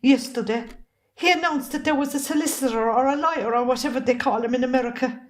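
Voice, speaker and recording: A woman's voice: sits high, fast, lightly acted. A woman with an Irish accent playing a long-suffering, fiery middle-aged wife and mother in an amateur drama. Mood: fretful, weary. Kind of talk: amateur stage drama acting.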